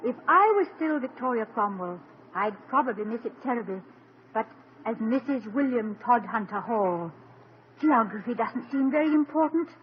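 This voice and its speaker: A woman playing a gentle, refined young wife. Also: on the high side, expressive, moderate pace, lo-fi sound, a little hiss or hum, close to an everyday voice. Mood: tender. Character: affectionate young wife, a former actress from England. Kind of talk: 1950s radio drama acting. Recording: old radio broadcast recording with music.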